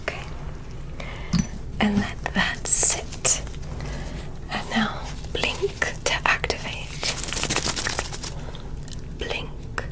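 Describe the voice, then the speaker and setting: A woman whispering an ASMR roleplay as a polite, curious alien scientist, giving soft, deliberate, soothing instructions. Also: fairly high pitch, rough recording. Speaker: ASMR performer.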